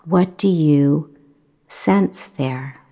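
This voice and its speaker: A woman with a low voice leads a guided meditation. She speaks very slowly and gently, leaving long pauses between phrases. Her instruction is calm and measured throughout.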